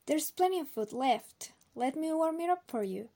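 A woman's voice: high voice, expressive, normal speed, dark tone. A woman playing a sweet, eager and helpful young woman in an audio drama. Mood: relieved, kindly.

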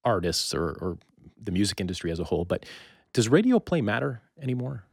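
A man hosting a casual interview podcast, speaking haltingly and rephrasing his thoughts and questions as he goes. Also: on the low side, big pitch swings, vocal fry, no echo, clean studio sound.

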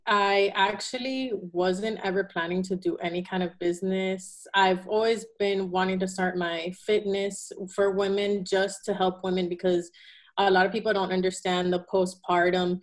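Low, level-voiced young woman from Venezuela. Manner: plain, earnest, with fillers and self-corrections. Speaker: guest. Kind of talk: casual interview.

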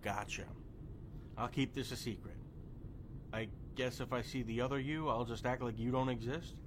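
An actor playing an excitable, apologetic young friend in an audio drama, questioning and hesitant in manner. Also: male voice, mid-range voice, moderate pace, noisy background, lightly acted. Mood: sheepish, cooperative. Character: jittery, apologetic young friend named Tavy, easily amazed. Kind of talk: immersive audio drama roleplay acting.

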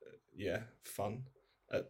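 A man with a lowish voice chats as a podcast guest. His delivery is informal and anecdotal, loose and sweary. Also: expressive, slow pace, creaky. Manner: informal, sweary, quotes himself. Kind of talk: casual anecdotal chat.

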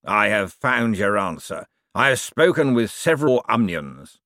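An ancient ghost of a professor, acted out by a male audiobook reader, savours his words in drawn-out phrases. He is smug and wistful, with a flirtatious streak, and his voice swings about in a dry, close recording. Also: fairly low pitch, sing-song, pushed and bright, no room sound, exaggerated voice. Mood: insistent. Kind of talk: audiobook character voice.

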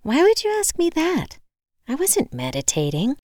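An irritable, stressed-out woman, acted by an audiobook reader, snappy and exasperated. Her voice leaps up loudly above normal talk, with a dry, close sound. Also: mid-range voice, very animated, normal speed, clear, resonant tone, dry recording, voice pushed up, lightly acted. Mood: defensive, irritated. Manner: exasperated, snappy, loud outbursts.

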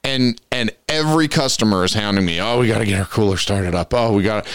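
A man with a very low voice hosts a casual podcast, sounding a little congested from allergies. He rambles through long stories and chuckles along the way. The recording is dry and close, with no room sound. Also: fast, a bit bright, clear, resonant tone, no room sound. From Western Colorado. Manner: rambling storyteller, chuckles often. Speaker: podcast host. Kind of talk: casual chat.

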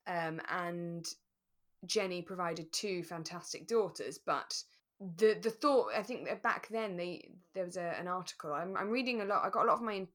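A woman co-hosting a podcast in relaxed discussion, explaining things thoughtfully and with emphasis. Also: fairly low pitch, normal speed. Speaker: podcast co-host. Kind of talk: relaxed chat reviewing a radio drama.

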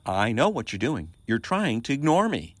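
A man performs a hundred-year-old male ghost in a comedy audio play. He is needy and easily affronted, with a whiny, theatrical delivery. The pitch leaps about wildly, and the sound is very dry. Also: sing-song, moderate pace, no room sound. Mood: huffy. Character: needy, indignant century-old male ghost named Daryl.

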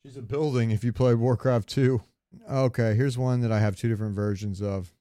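A man's voice: mid-range voice, steady tone, a little fast, dark tone, no echo, studio quality. A man co-hosting a casual gaming podcast, talking in a rambling way with meandering asides. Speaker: podcast co-host.

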